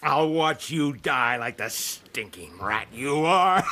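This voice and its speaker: An actor playing a vengeful killer in a radio drama, slow, menacing and cackling in a raspy, strained voice. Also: male voice, fairly high pitch, very animated, pushed and bright, exaggerated voice. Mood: gloating. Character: gloating, vengeful male killer taunting his dying victim.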